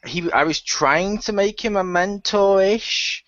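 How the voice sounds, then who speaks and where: A man's voice: high voice, measured pace, a bit bright, no room sound. A man, a cast member of a radio play, joking crudely and laughing in a casual online group chat over muffled audio.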